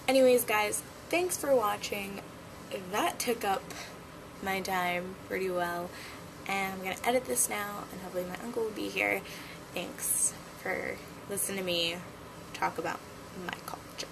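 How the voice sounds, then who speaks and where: A woman's voice: medium pitch, moderate pace, creaky. A young woman chatting casually in a home vlog, playful and giggly. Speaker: vlogger. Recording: noticeable background noise.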